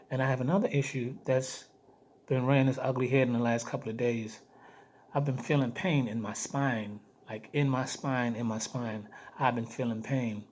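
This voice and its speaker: A man with a hoarse, weak voice giving a casual video diary update, speaking haltingly and repeating phrases for emphasis. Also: mid-range voice. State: hoarse, weak voice after throat surgery. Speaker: vlogger giving health updates. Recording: noticeable background noise.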